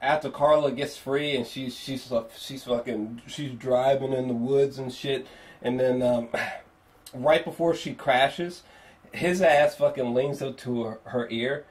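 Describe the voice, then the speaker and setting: A man giving a casual spoken movie review, swearing freely and repeating phrases for emphasis in an animated, emphatic way. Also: medium pitch, unhurried. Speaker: film reviewer.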